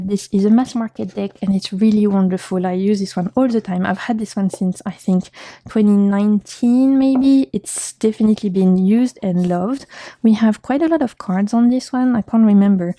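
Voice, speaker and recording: A woman with a French accent, a native French speaker, chatting casually to her viewers as a video host with gentle enthusiasm. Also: mid-range voice, moderate pace, no room sound. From France. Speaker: video host reviewing card decks.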